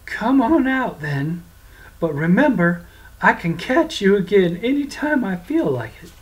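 A storyteller voicing a scheming male devil who flatters and then begs in a raised, pleading voice. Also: medium pitch, a little slow, pitched above the performer's own voice, low-quality recording. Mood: sly. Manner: wheedling, then whining.

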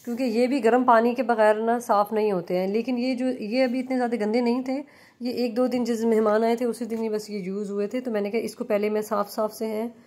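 A young adult woman chatting through a home cleaning vlog as a relaxed voice-over, full of fillers and restarts. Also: on the high side, fairly quick. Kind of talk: casual voice-over narration. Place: home kitchen.

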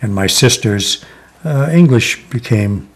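An older man in his seventies from Connecticut, reminiscing slowly in a sit-down oral history interview, with many pauses and "uh" fillers. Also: mid-range voice, lively intonation. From Connecticut, USA. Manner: slow, reflective, many "uh" pauses. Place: living room, seated in armchair.

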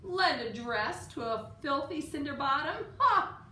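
A woman's voice: high-pitched, big pitch swings, unhurried, sharp tone, voice pushed up, exaggerated voice. A woman voicing a mean, spoiled young stepsister from a storybook, taunting and exaggerated. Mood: scornful. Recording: roomy, distant mic, faint room noise.

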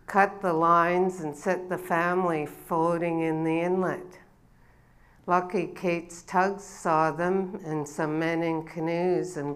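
Slow, low-voiced woman elder. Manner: slow, unhurried storytelling with rising ceremonial calls. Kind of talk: welcoming address. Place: dark event stage before an audience. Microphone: body-worn mic.

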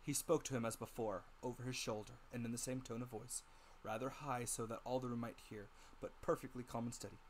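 A man narrating an audiobook as a dramatic reading, with deliberate, theatrical emphasis. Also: medium pitch, fairly even intonation, slight background noise.

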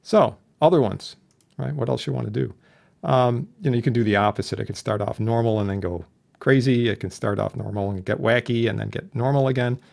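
A man instructing in an informal software tutorial, chatty and plain-spoken with a wry streak. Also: mid-range voice, fairly quick, vocal fry. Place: indoors, voice-over for a screen recording.

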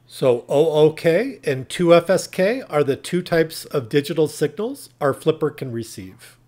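A man's voice: on the high side, lively intonation, unhurried, a little mellow. A man narrating a tech tutorial in a plain, instructional, step-by-step way. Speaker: tutorial presenter.

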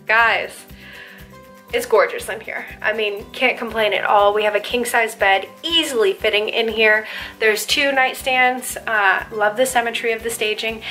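A thirties American woman talks casually to camera as a real estate agent. Her voice is on the high side and very bright, with a crisp forceful edge. She is chatty and enthusiastic, and music plays behind her. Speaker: real estate agent hosting a house tour. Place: inside a furnished townhouse.

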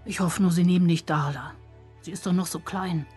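A woman's voice: lowish voice, steady tone, fairly quick, a little mellow, close to an everyday voice. A woman playing a worried adult villager confiding her thoughts in a first-person audio drama monologue. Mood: reflective, worried. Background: music.

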